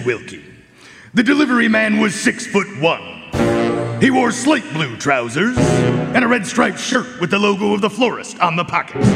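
Precise high-voiced dog detective in a hall. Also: male voice, gravelly, heavily acted. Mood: precise, assured. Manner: formal, precise, deliberate explanations. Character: male dog detective, poised, precise, unflappable. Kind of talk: live radio drama acting. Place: concert hall stage, live broadcast. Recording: long hall reverb, music behind.

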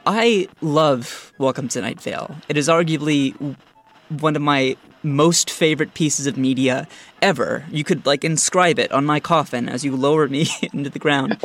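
A young man interviewing in a casual, chatty way, earnest and halting, with a fan's enthusiasm. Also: a little high, a bit bright. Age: young adult. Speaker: podcast interviewer, producer.

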